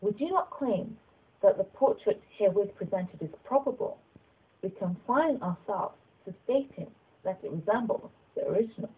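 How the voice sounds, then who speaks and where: A woman reading literary narration aloud as an audiobook reader, with a slightly husky voice. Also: medium pitch, animated, normal speed, phone-quality sound.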